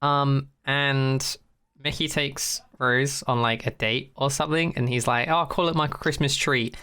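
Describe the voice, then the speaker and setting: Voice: medium pitch, steady tone, a little slow, pushed and bright, clear, resonant tone. A podcast host chatting casually in a rambling, informal way, swearing freely and sounding amused.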